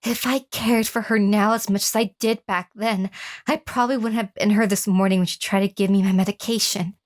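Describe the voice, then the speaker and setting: An actress voices a captive, wounded woman in a horror radio drama. She recounts an obsessive lover's abuse in a medium-pitched voice, as first-person story narration with acted dialogue. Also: fairly even intonation, brisk, lightly acted. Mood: somber, reflective.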